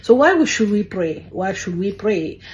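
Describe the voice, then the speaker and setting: A woman's voice: medium pitch, sing-song, moderate pace, a little mellow, muffled recording. A woman giving an earnest kids' lesson, filmed on a phone at arm's length indoors. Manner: earnest, with frequent fillers. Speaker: kids ministry teacher. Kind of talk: earnest teaching talk.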